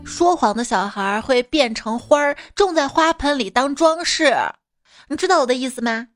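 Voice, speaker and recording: A woman's voice: high voice, normal speed, dry recording, clean studio sound. A woman speaking as a mother and comic storyteller, in playful, coaxing scripted chat addressed to a child. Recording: background music under the voice.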